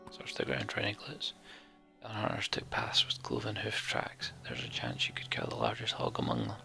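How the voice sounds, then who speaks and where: A man narrating a fantasy game's text like a storybook, in a hushed, theatrical manner. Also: lowish voice, very animated, normal speed, gravelly, whispered, over music. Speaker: let's play narrator.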